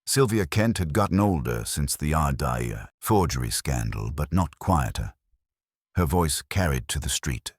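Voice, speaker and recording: Very deep-voiced man narrating detective fiction. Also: lively intonation, measured pace, slightly rough, no echo, studio quality. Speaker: audiobook narrator. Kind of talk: scripted first-person detective story narration.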